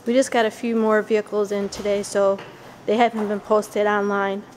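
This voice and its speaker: A woman's voice: medium pitch, little pitch movement, normal speed, a little mellow. A woman from Western New York, giving an informal walking tour of an auction warehouse on a handheld camera and correcting herself as she goes. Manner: plain, informal, self-correcting as she walks. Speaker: auction house staff giving a walkthrough. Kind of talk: casual walkthrough narration. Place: large indoor vehicle warehouse. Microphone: handheld camera mic while walking. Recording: noisy warehouse camera audio.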